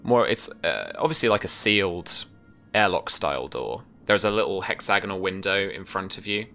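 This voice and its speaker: A man's voice: low voice, normal speed, creaky, pushed and bright, faint music behind. A man in his thirties improvising scene narration as game master, in hesitant, piecemeal phrases, close-miked on a video call. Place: small room at home with bookshelves.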